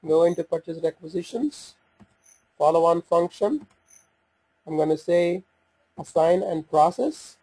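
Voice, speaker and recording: A man with a slightly husky voice giving an unscripted software walkthrough, hesitant and thinking aloud as he goes. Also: on the high side, expressive, unhurried, long pauses, rough recording. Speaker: software demonstrator. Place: voice-over on a screen recording.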